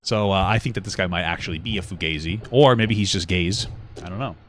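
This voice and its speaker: A man co-hosting a podcast, talking in casual, joking banter with a smooth voice and lots of fillers. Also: sits low, quick pace, slight background noise. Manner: animated, joking, rambling with many fillers. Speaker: podcast co-host.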